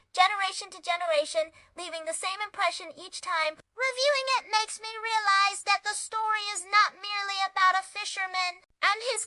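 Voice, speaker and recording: A woman's voice: very high-pitched, bright, forceful tone, no echo. A woman reading a scripted children's story review as narrator, with an earnest, emphatic and dramatic delivery.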